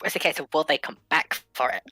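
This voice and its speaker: A woman's voice: sits low, quick pace, pushed and bright, soft background music. A woman chatting casually and debating history as a co-panelist in a discussion.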